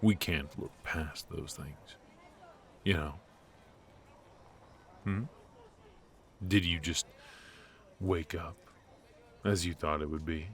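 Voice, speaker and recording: A man voices a ruthless mafia boss with a very low voice and a slow, intimate delivery. He is cocky and teasing yet protective, in the manner of a noir audio roleplay. There is clear noise and ambience behind him. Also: steady tone. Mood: flirty, sly. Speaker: voice actor. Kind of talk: noir ASMR roleplay acting.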